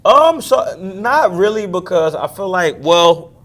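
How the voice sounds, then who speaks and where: A young man from Maryland, a singer, talking casually in an interview, relaxed and quick to laugh. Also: high-pitched. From Maryland, USA. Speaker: guest singer.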